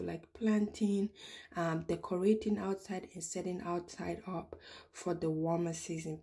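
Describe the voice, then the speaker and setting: A woman with a low, mellow voice narrates a shop-with-me video inside a big store. She talks casually, filling pauses with ums and starting over mid-sentence, as she holds her phone. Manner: chatty, many ums and restarts. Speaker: vlogger. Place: large home improvement store, indoors.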